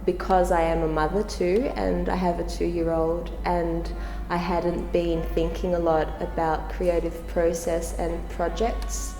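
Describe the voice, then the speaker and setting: A woman's voice: fairly low pitch, little pitch movement, measured pace, few pauses, warm tone. A woman from Portugal, speaking thoughtfully about her art in an interview. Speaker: visual artist. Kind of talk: interview testimonial. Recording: reverberant hall with faint music.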